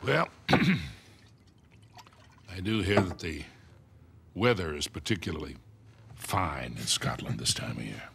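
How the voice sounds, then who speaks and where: An actor playing a gruff, loyal older treasure-hunting mentor in a video game cutscene, dry and a little halting. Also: male voice, very deep voice, sing-song, noisy background, exaggerated voice. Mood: dry joking.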